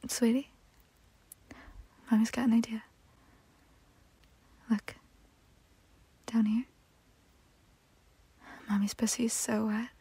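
A woman speaking in a soft, breathy whisper in an intimate roleplay style, slow and soothing. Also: on the high side, dry recording.

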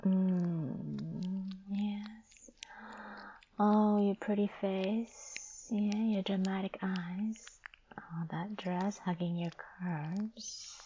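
A woman in an intimate adult roleplay, speaking in a breathy, sultry way that often slides into whispering. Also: mid-range voice, fairly even intonation, extremely slow, mellow, dull audio, a little hiss or hum. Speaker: performer of an erotic audio roleplay. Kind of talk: intimate erotic roleplay addressed to an adult partner.

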